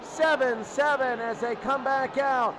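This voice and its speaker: High-pitched man calling football over crowd. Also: a little slow, noisy background. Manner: excitable, calls plays in short clipped phrases. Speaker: play-by-play sports announcer. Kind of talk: live American football commentary. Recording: loud crowd and music behind. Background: crowd and music.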